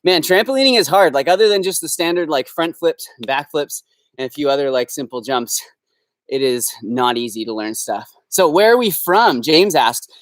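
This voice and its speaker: A man's voice: fairly high pitch, animated, normal speed, no room sound. A young Canadian man hosting a casual live Q&A from his backyard, upbeat and rambling with fillers. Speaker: host. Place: backyard, outdoors.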